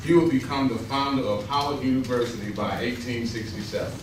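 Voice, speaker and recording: A man's voice: medium pitch, fairly quick. Guy reading narration for a readers theater, super echoey and far from the mic. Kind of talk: scripted read-aloud narration. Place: stage rehearsal in a large echoing room. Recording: distant, echoey hall, clear noise.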